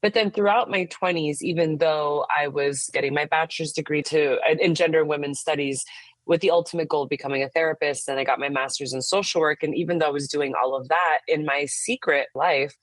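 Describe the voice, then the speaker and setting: A woman's voice: low voice, fairly even intonation, fairly quick, no room sound. A woman in her thirties from the United States, a therapist telling her own story in an open, frank, casual way, often saying "like". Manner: open and frank, frequent "like" fillers. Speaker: therapist and intimacy coach, podcast guest. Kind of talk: candid personal story in casual conversation.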